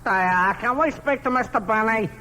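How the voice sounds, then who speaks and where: An actor playing a slow-witted adult fan club president who speaks haltingly with "uh" and bad grammar, in 1950s radio comedy. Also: male voice, sits high, noisy background, natural voice. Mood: dopey. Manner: halting, ungrammatical, drawls with "uh".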